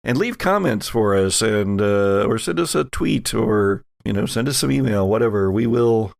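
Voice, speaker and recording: A man's voice: lowish voice, animated, normal speed, creaky, no echo, studio quality. A man hosting a podcast, chatting casually and narrating a tabletop game as game master.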